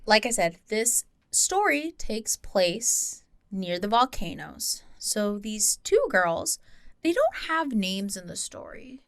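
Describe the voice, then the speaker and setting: A woman's voice: mid-range voice, very animated, takes it slowly, dry recording. Woman telling a story casually, dry close sound. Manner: informal, giggly, self-interrupting asides. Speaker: podcast co-host and storyteller.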